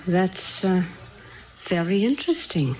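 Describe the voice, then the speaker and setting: A woman's voice: fairly low pitch, sing-song, measured pace, a little hiss or hum. An actress in a 1970s radio drama playing a curious, skeptical woman customer at a bar. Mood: curious, amused. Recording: dull 1970s radio broadcast recording.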